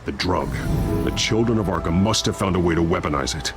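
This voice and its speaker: An actor playing a grim, gruff masked detective in a video game, speaking in clipped, analytical lines. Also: male voice, sits low, expressive, brisk, over music. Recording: game score under the voice.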